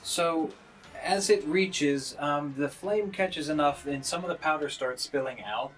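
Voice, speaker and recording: A man's voice: on the high side, unhurried, a bit bright. Man narrating a tabletop game over music. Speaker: game master.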